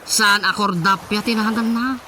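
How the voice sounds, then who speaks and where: A man's voice: sits high, steady tone, normal speed, noisy background, close to an everyday voice. An actor playing a chatty, teasing village councilman in an Ilocano radio comedy, with a Filipino accent and a gossipy way of talking.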